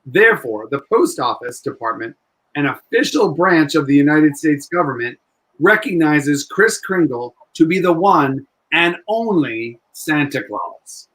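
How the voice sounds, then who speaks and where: Man as courtroom defense lawyer, earnest, persistent, slightly high voice, 1940s radio play style. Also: expressive, a little slow, fairly bright tone, low-quality recording. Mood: triumphant.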